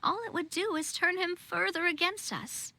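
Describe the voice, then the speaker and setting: A woman playing a gentle, forgiving young royal ruler in a fantasy video game, composed and courteous as she explains things. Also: very high voice, fairly even intonation, normal speed, creaky, no room sound, exaggerated voice. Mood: calm, resolute.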